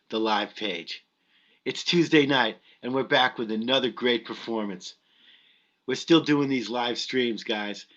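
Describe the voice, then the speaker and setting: A man's voice: mid-range voice, a bit bright. A middle-aged man hosting a live stream, giving a casual introduction in an effusive, emphatic way. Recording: dull lo-fi web stream audio.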